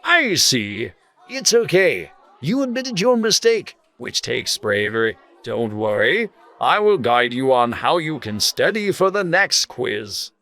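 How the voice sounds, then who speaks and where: A man playing a kind, understanding schoolteacher in a children's cartoon story, measured and encouraging. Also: mid-range voice, big pitch swings, bright, forceful tone, heavily acted. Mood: reassuring.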